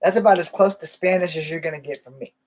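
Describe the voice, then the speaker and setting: A man's voice: a little high, fairly even intonation, fast. A man rambling through candid stories in a casual monologue, swearing now and then. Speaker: vlogger.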